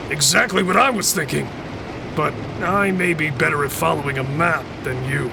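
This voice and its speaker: Man doing a blunt angry male prisoner, rough gravelly voice, higher pitch, audio drama. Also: normal speed. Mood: wry. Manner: blunt, confrontational. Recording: noisy background.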